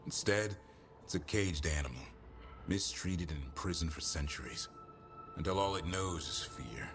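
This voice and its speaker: Casting: earnest, weary fantasy adventurer, very low reflective voice, game dialogue over clear music. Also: male voice, expressive, normal speed. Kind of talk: dramatic video game dialogue. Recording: music behind the voice.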